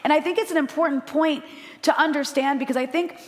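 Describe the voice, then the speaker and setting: A woman's voice: high voice, fairly even intonation, fast talker. Impassioned woman, reverberant room. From United States. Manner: passionate, blunt, with frequent "um" fillers. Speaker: panelist journalist. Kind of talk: impassioned panel talk. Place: live panel in a room with an audience.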